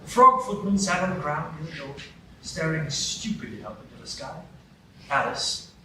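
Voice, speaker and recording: A man narrating a storybook tale in a measured, storytelling way, as part of a live radio play. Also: on the high side, big pitch swings. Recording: echoey hall, distant mic, noisy.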